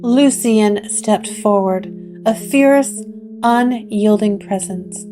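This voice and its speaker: A woman narrating a gothic horror story with measured, atmospheric delivery. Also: medium pitch, dark tone. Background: guitar music.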